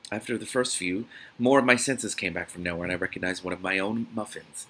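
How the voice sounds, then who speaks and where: A man reading a novel aloud as host of a reaction series, in a dramatic, expressive manner. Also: low voice, fairly quick, slight background noise.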